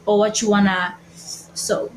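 A young woman who is not a native speaker, chatting casually on a panel and pausing to find her words. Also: medium pitch, little pitch movement, a little hiss or hum, low-quality recording. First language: not English. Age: young adult. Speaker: podcast panelist. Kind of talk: casual faith discussion.

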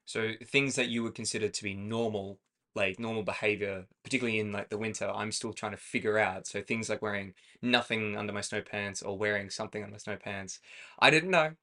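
A man's voice: fairly low pitch, a little fast, pushed and bright. Chatty Australian man, rambling and laughing. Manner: rambling, self-correcting, laughs easily. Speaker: podcast co-host. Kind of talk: casual chat.

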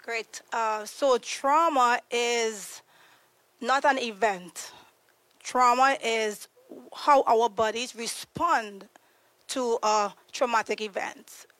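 A woman from Trinidad and Tobago, a podcast guest explaining trauma in an earnest, conversational way, pausing to search for words. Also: a little high, fairly bright tone, no echo, low-quality recording. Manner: earnest, searching for words, frequent ums and restarts.

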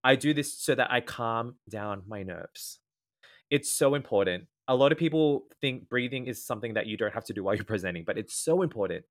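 An Australian man whose voice has a slight nasal edge. He explains his advice patiently in a relaxed interview, setting out each point in turn. His pitch sits right in the middle for a man. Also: brisk. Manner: earnest, explanatory, lays out tips in ordered points. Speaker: guest speaker, former event MC. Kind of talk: casual podcast interview.

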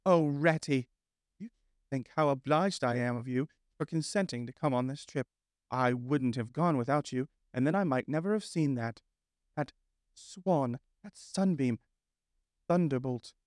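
A man voicing a vain, excitable male toad gentleman, speaking in a dreamy, drawn-out way. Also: medium pitch, no echo, studio quality, natural voice. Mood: dreamy rapture.

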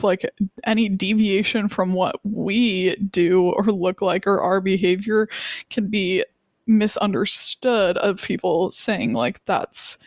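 Slow-talking earnest woman co-host. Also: medium pitch, no echo, studio quality. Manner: earnest, thinking aloud with restarts. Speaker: podcast co-host. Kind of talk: casual chat.

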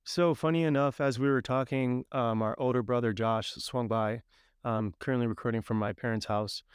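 Smooth-voiced man, soft thoughtful podcast host. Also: mid-range voice, fairly even intonation, normal speed, dry recording, clean studio sound. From Puerto Rico. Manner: thoughtful, agreeable, frequent backchannel affirmations. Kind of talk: casual conversation with his sister. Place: parents' house.

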